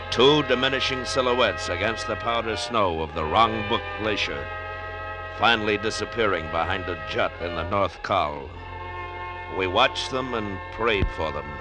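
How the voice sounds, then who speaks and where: A man's voice: lowish voice, natural voice. An actor playing an intense, obsessed mountaineer who tells his story in a 1950s radio drama. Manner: intense, dramatic, brooding delivery. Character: obsessed male mountaineer recounting an Everest tragedy. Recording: old radio recording with loud music behind.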